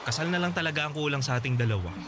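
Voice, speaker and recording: An actor playing a devoted young boyfriend in a radio drama, gentle and reassuring. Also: male voice, a little high, lively intonation, rapid-fire, close to an everyday voice. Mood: tender. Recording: clear background noise.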